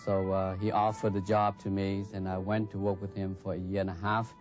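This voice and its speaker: An older man from North Vietnam with a Vietnamese accent, recalling his past in a measured, hesitant way in a documentary interview. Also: deep voice, level tone. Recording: music bed under the voice.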